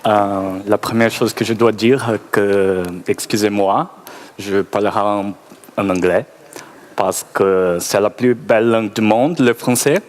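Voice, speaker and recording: A man's voice: fairly low pitch, steady tone, a little slow. A man from Brazil with a Brazilian Portuguese accent, a Portuguese native speaker, giving a hesitant, nervous, self-deprecating conference talk with many fillers. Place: conference stage.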